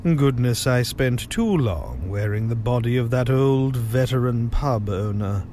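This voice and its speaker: A man's voice: medium pitch, lively intonation, unhurried, dark tone, a little hiss or hum, natural voice. A man playing an ancient lord of darkness in an audio drama, smug and mock-polite in his delivery. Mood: smug, taunting.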